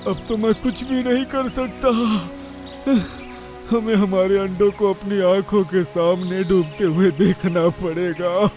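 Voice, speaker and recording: A cartoon father bird, played by a man, talks in a notably high male voice. He is an easygoing idler turned earnest, dramatic in delivery, over soft music. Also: fairly even intonation, measured pace. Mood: despairing. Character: lazy but repentant male father bird. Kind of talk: Hindi cartoon story character acting.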